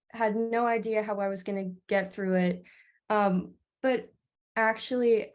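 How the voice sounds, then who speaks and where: A woman's voice: on the high side, a little mellow. A teenage girl student on a video call, talking reflectively with many fillers. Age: teens. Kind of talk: panel testimonial.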